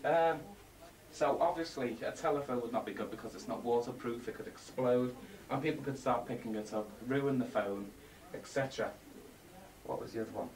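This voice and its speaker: A man giving a rambling, half-scripted amateur presentation, halting and matter-of-fact with blunt asides. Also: mid-range voice. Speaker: presenter of a homemade design project video. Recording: distant mic, faint background noise, amateur home video.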